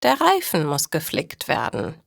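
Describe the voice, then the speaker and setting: A woman voicing a kind but shrewd, plain-spoken elderly grandmother in a storybook read for language learners, with deliberate, matter-of-fact delivery. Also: sits low, huge pitch swings, creaky, dry recording, clean studio sound, full character voice. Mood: kind, practical.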